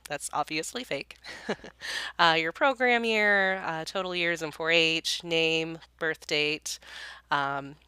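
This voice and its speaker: Young woman, low pitch, bright clear tone, congested, chatty tutorial. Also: slow, no echo. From California, US. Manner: friendly and chatty, many ums and uhs, small laughs. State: congested, sniffly. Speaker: county youth program staff. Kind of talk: casual screen-recording tutorial.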